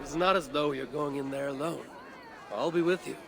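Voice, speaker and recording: A man's voice: a little high, a little fast, creaky, over music, crowd noise. A man playing a bold, competitive, teasing Viking warrior in a video game. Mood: reassuring. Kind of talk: video game cutscene dialogue.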